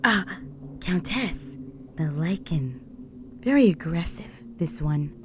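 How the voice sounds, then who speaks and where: A woman's voice: sits low, expressive, takes it slowly, noisy background. A woman voicing a deferential human guard serving a vampire countess, formal and earnest, in a scripted audio roleplay. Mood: dutiful, reporting.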